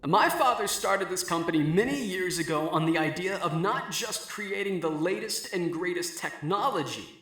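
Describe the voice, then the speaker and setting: Man playing a bright-voiced, self-assured tech executive. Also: sits high, expressive, moderate pace, few pauses, slightly rough, no room sound, exaggerated voice. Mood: public address. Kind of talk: audio drama acting.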